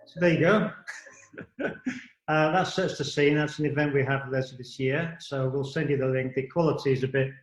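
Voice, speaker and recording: A man's voice: mid-range voice, fairly even intonation, normal speed. Echoey male online panel host. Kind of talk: informal online panel moderation. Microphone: video call. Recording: echoey, thin video-call audio.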